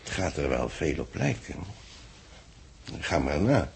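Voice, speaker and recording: A man playing a thoughtful chronicler of a space expedition, deliberate, with a gravelly husky voice, in a 1960s radio drama. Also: rumbling low, sing-song, a little mellow, heavily acted. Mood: musing. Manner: musing, deliberate. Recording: old 1960s radio recording.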